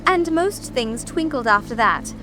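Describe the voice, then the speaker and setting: A woman's voice: a little high, animated, a little slow, music in the background. A woman voicing a poor, humble, muddled hatter who pleads anxiously in a storybook dramatization. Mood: nervous pleading. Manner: trembling, anxious. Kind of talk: dramatized audiobook.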